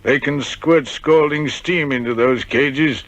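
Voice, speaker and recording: An actor playing a haunted old ex-convict with a cracked voice, telling grim prison memories with bitter irony in a radio drama. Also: male voice, a little high, slow pace, a bit bright, no echo. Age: older. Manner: grim, bitterly ironic storytelling. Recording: dull, lo-fi vintage radio recording.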